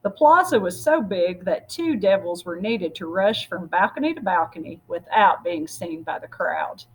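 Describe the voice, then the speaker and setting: A woman with a slightly husky voice reads a picture book aloud, speaking directly to her listeners as a storyteller. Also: on the low side, expressive, moderate pace, low-quality recording. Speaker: story reader.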